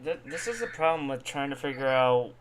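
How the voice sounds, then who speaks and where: A man's voice: mid-range voice, lively intonation, a little fast. A man chatting casually as a podcast co-host. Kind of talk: casual wrestling podcast chat. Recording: home microphone with faint background noise.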